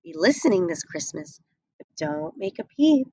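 A young adult woman reading a rhyming picture book aloud at a desk webcam, slow and expressive. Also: fairly low pitch, slightly rough, no room sound. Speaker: storybook reader. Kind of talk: read-aloud storytelling. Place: small indoor room.

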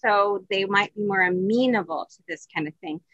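A woman's voice: mid-range voice, moderate pace, a bit bright, no echo, dull audio. A woman in her sixties hosting conversationally over a video call. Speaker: host, interviewer. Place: home office, indoors. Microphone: video call computer microphone.

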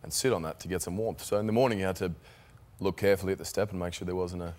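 A young Australian man speaking low and easy as a talk show guest. He rambles through stories and laughs readily. The studio sound stays close and clean. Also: very fast. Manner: relaxed, laughing, rambling anecdotes. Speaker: actor guest. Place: television studio. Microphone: guest desk microphone.

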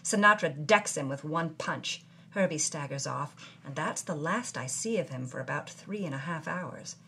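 A woman's voice: deep voice, animated, normal speed, sharp tone, slight background noise, full character voice. A woman voicing a brassy young actress who narrates her wedding-night troubles from her journal with wry, dramatic flair and period slang. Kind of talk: scripted audio drama narration.

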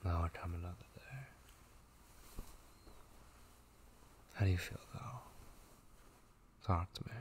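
A man playing a tender, devoted young gamer boyfriend in an ASMR roleplay, speaking softly, intimately and hesitantly with a husky voice. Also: sits low, fairly even intonation, moderate pace, a little hiss or hum, lightly acted. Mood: tender, earnest. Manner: soft, hesitant, intimate, with murmured asides. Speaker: ASMR voice actor.

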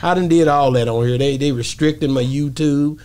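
A man's voice: fairly high pitch, fairly quick, darkish tone. A middle-aged man hosts a casual podcast chat, informally repeating phrases and checking in with the listener. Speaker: podcast host. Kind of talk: casual, animated interview chat. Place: small podcast studio. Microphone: close studio microphone on boom, headphones.

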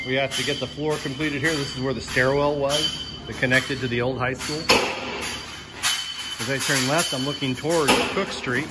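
A man giving an unscripted, matter-of-fact walking tour as a school superintendent, explaining things as he goes, recorded on a handheld phone indoors. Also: mid-range voice. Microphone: handheld phone held by the speaker while filming. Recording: loud construction site noise. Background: loud building-site noise.

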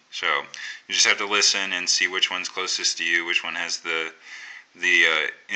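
An American man giving a casual, unscripted radio tutorial in a halting, think-aloud way. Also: low voice, pushed and bright, clear, resonant tone, poor audio. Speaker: amateur radio hobbyist presenting a tutorial. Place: indoors at a table.

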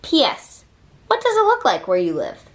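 A woman with a fairly high voice reads a children's picture book aloud. Her pitch swings in a very lively way, and she phrases each short line deliberately, leaving pauses between them. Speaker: read-aloud reader.

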